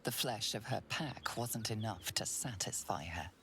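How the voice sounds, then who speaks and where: A woman performing as the narrator of a dark fantasy game, speaking in a deliberate, hushed, ominous manner. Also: rumbling low, background noise. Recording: music behind the voice.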